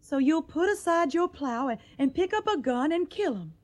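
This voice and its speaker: A woman's voice: sits high, expressive, normal speed, darkish tone, a little hiss or hum. A woman playing an earnest, tender young farm woman with a Southern accent in a radio drama. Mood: pleading, reproachful.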